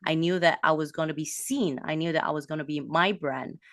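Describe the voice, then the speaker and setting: A woman from a Malaysian background, a business coach, talking in an animated way with quick bursts and restarts. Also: low voice, dry recording, studio quality. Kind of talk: casual interview talk.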